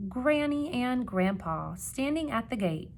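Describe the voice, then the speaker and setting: A woman reading a children's picture book aloud like a teacher, with expressive emphasis and questions put to young listeners. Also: a little high, a little hiss or hum. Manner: expressive, dramatic gasps and emphasis, pausing to ask questions.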